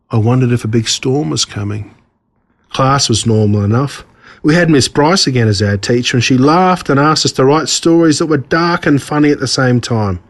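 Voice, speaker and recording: Australian man narrating a children's story. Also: mid-range voice. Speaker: author reading own story.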